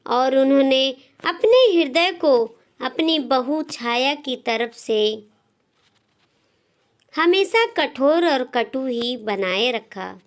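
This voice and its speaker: A woman narrating a scripted moral story as a storyteller, in deliberate phrases with frequent pauses. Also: sits high, lively intonation.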